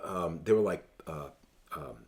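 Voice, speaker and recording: Male podcast host, mid-pitched, loose and profane, lots of "like" and "um". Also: a little slow, darkish tone. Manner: loose, swears freely, filler-heavy questions. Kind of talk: casual podcast chat.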